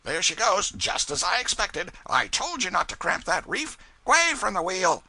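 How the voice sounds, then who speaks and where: A man's voice: sits high, very animated, moderate pace, vocal fry, pushed and bright, dry recording, voice pushed up, exaggerated voice. A man doing a teasing young cub aping a bully. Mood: mocking. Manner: mocking, barking commands. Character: young male cub pilot mockingly mimicking a bully.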